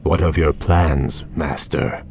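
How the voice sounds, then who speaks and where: An actor playing an earnest, loyal young elf prince in a fantasy video game, formal and deferential. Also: male voice, a bass voice, steady tone, slow, darkish tone, exaggerated voice. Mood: reverent. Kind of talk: dramatic video game acting.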